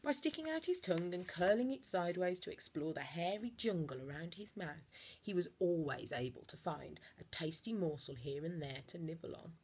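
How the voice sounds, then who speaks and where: A woman reading a children's storybook aloud like a teacher, expressively, with theatrical emphasis and deliberate dramatic pauses. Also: on the low side, lively intonation, a little mellow, slight background noise.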